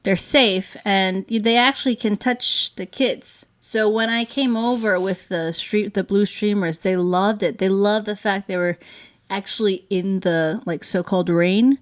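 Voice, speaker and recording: A woman's voice: medium pitch, steady tone, a little slow. A woman chatting informally and explaining things in a casual, loosely phrased way, often saying "like". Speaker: show co-host, parent.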